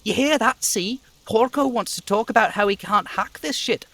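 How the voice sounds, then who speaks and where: A man's voice: sits high, normal speed, bright, forceful tone, no room sound, voice pushed up, full character voice. A man voicing a cocky, hostile, swearing street kid in a video game, a brash braggart who talks of himself in third person. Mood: cocky, taunting. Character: foul-mouthed cocky street kid boy, swaggering and hostile.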